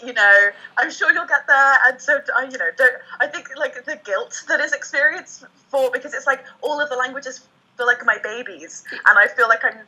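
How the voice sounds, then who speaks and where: A woman's voice: sits high, normal speed, bright, forceful tone, breathy edge, lo-fi sound. A young woman from England with an English accent, chatting as a guest on a laptop video call, hesitant with many fillers and restarts. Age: young adult. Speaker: guest, language learner and French teacher. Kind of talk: casual video call chat.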